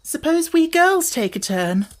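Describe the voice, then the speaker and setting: A woman's voice: very high-pitched, sing-song, a little slow, voice pushed up, heavily acted. A woman voicing a polite, eager young girl in a storybook, in a well-mannered way. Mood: cheerful.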